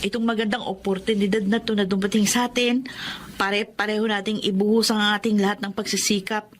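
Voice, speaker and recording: A woman's voice: medium pitch, level tone, brisk, a little hiss or hum, close to an everyday voice. A woman playing an earnest, grateful poor young woman in a Filipino radio drama, with sincere, emotional delivery. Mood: hopeful.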